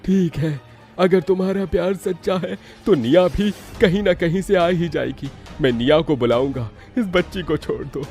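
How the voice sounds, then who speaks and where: A man's voice: fairly high pitch, normal speed, darkish tone, soft background music, voice pushed up, close to an everyday voice. A man voicing a wise, kindly father and holy man in a cartoon story, solemn and reassuring.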